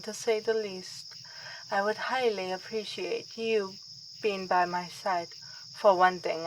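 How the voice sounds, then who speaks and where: Vampire princess, played by a woman: slow, courtly, playful menace, ASMR drama, faint night insects behind. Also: medium pitch, noisy background, lightly acted. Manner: theatrical, formal, courtly phrasing. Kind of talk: ASMR roleplay acting.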